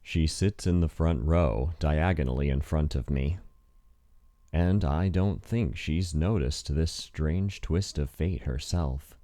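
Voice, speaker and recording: A man's voice: very deep voice, slow pace, warm tone. A man reading scripted first-person narration for a visual novel in an introspective, wry manner. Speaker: narrator and voice actor.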